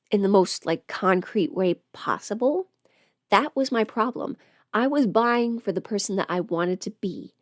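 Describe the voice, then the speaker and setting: A woman's voice: medium pitch, no echo, studio quality. A young woman chatting casually as a livestream host, informal and talkative, often putting rhetorical questions to her listeners. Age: young adult.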